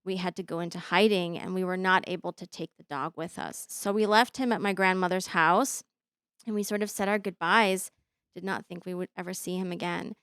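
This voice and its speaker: A woman in her thirties, born in Bosnia with Bosnian as her first language, telling her story as an interview guest with many pauses and fillers. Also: on the low side, fairly even intonation, fairly bright tone, smooth, dry recording, studio quality. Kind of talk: conversational interview.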